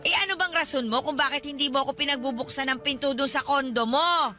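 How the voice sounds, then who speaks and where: A woman's voice: high voice, quick pace, bright, forceful tone, soft background music. An actress playing a pushy, needy elderly godmother in a Filipino radio drama, wheedling and insistent as she talks. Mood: accusing, wheedling. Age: older.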